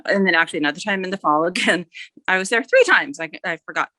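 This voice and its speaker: A woman's voice: on the low side, fast, sharp tone, no echo. A mature woman chatting casually as an author guest, rambling a little and correcting herself as she goes. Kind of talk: casual interview chat.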